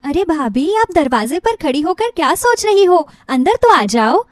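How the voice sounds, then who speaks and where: A woman's voice: very high voice, sing-song, moderate pace, no echo, studio quality, voice pushed up. A woman voicing a cheerful, chatty, affectionate young sister-in-law in a playful, eager way for a moral story. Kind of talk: animated Hindi moral-story character voice.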